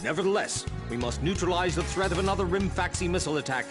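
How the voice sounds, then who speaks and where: Officious hq staff officer, highish lively voice, game briefing over loud music. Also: male voice, quick pace. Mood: officious, urgent. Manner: formal, officious. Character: self-important male military staff advisor from headquarters. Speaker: military staff advisor. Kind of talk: scripted military briefing.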